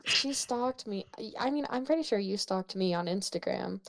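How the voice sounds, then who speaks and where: A woman's voice: medium pitch, animated, normal speed, gravelly. A young woman chatting casually with a friend, giggly and playful, rambling as she tells stories. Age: young adult.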